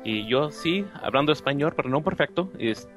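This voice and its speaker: Halting man with a Texan accent. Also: fairly high pitch, animated, fairly bright tone, faint music behind. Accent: American, Texan Spanish. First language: English. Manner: halting, searching for words. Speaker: interview guest. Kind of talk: casual interview.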